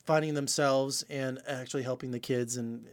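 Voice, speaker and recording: A man's voice: medium pitch, steady tone, normal speed. A man giving casual film reviews in an enthusiastic, emphatic way.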